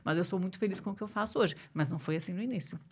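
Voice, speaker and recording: Low-pitched, creaky-voiced woman. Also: rapid-fire, a little mellow. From Curitiba, southern Brazil (born in Argentina). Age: 40s. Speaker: labour lawyer, interview guest. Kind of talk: casual interview conversation.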